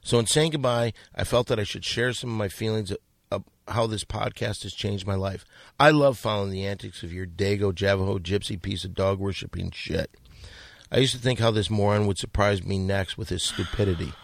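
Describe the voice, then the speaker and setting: A man hosting a podcast who reads listener letters aloud in a mock-earnest, deadpan way. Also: fairly low pitch, a little fast, gravelly, no room sound. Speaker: podcast host.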